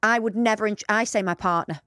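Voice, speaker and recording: A woman's voice: medium pitch, a little fast, a bit bright, no room sound, clean studio sound. A British woman in her thirties, co-hosting a podcast in casual, jokey chat. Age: 30s. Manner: chatty, laughs a lot, jokey. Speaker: podcast co-host.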